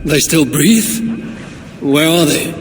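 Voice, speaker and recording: A man's voice: a little high, takes it slowly. A man playing a weary, commanding Norse god warrior in a video game, terse and grave. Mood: terse, wry. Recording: clearly noisy ambience behind.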